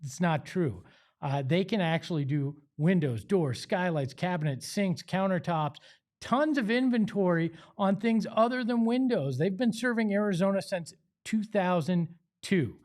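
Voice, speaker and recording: A man's voice: high voice, lively intonation, a little slow, darkish tone. A man with an American accent hosting a sports show, speaking in an emphatic, conversational way. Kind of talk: opinionated sports talk and host-read ads.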